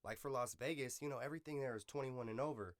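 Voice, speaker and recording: A man's voice: medium pitch, fast, no room sound, clean studio sound. A man hosting a podcast in casual, slangy urban speech, enthusiastic and affirming, repeating phrases for emphasis. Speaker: podcast host. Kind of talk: casual interview chat.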